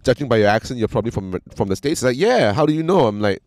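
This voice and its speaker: A young Malaysian man with a Malaysian English accent, chatting casually in a blunt, profane, animated way. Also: mid-range voice, rapid-fire, no room sound. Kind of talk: casual chat.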